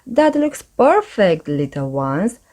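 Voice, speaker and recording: A woman voicing a gentle, encouraging old granny pig in a children's storybook. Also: medium pitch, big pitch swings, slow.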